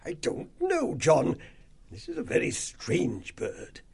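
A frail, kindly old abbot mouse who speaks gently, with small stammers and wonder in his voice, as a man puts him on. His voice is high, husky and creaky, slow and wavering. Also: huge pitch swings, full character voice. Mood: puzzled. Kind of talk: audiobook character voice.

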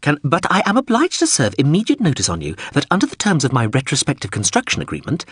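A pompous sentient robot drone, read by a man in an audiobook, fussy and long-winded in its bureaucratic phrasing. It sits higher than the reader's own voice, with lively, indignant swings. The tone is husky and dry. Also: fast, dry recording, full character voice. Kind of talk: audiobook character voice.

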